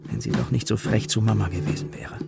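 A man's voice: lowish voice, fairly even intonation, fast, gravelly, loud music behind the voice. A man narrating a children's radio play as a storyteller, musing in rhetorical questions. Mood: sympathetic. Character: storyteller following a worried girl's adventure.

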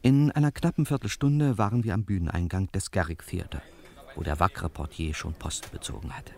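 An actor playing a detective's wry assistant, telling the case conversationally as narrator of a radio crime play. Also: male voice, sits low, a little fast, gravelly, dark tone. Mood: wry, storytelling. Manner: conversational, storytelling.

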